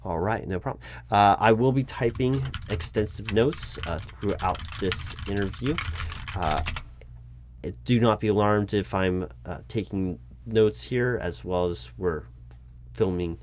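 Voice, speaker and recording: A man playing a nervous, polite recruiting manager in a job interview roleplay, speaking aloud in a halting, stammering, apologetic way. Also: fairly low pitch, a little mellow, a little hiss or hum, close to an everyday voice. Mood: businesslike. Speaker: roleplay performer. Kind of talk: one-sided job interview audio roleplay, spoken aloud.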